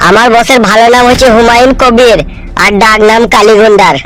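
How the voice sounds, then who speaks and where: A man playing a rough, cocky cartoon thug sidekick, coarse and teasing. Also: very high voice, fairly even intonation, fairly quick, a bit bright. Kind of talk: comedy cartoon voice-over. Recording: music under the voice.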